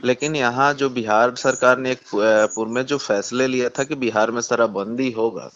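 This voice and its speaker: A man with a Bihari accent speaking as a politician in a phone interview, insistent, repeating himself and halting as he argues. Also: mid-range voice, fairly even intonation, a bit bright. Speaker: communist party politician. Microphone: phone call. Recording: dull lo-fi phone call recording.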